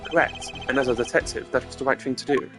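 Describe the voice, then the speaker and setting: A man plays a composed male prosecutor from a mystery game, formal and deliberate, setting out his reasoning with measured care. Music plays clearly behind him. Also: mid-range voice, steady tone, natural voice. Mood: assured, explaining.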